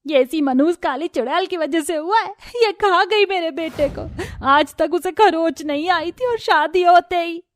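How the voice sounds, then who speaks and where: A woman's voice: very high voice, unhurried, a little hiss or hum, pitched above the performer's own voice. A woman voicing a harsh, domineering older mother-in-law who scolds, taunts and accuses in a Hindi family story. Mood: furious, grieving.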